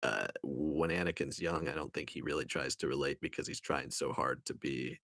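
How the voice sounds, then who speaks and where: A man with an extremely low, deep voice speaks as a podcast co-host in a casual discussion. His delivery is thoughtful and a little halting, and the sound is close and dry. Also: fairly even intonation, a bit bright, no echo. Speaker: podcast co-host reviewing books.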